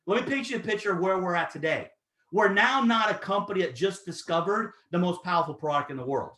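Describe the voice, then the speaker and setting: A man in his thirties giving an energetic, persuasive sales pitch, talking straight to his audience. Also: fast, reverberant. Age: 30s. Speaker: network marketing presenter.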